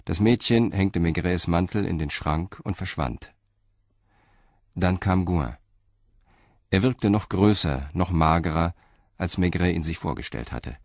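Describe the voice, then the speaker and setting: A man narrates a crime radio play in a calm, even way. His very deep voice is recorded close and dry, with no room sound. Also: unhurried, long pauses, no room sound.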